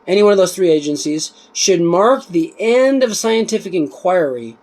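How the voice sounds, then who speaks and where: A man reading a book aloud in an informal way, emphatic and deliberate on the text, with offhand asides in between. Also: high voice, expressive, darkish tone.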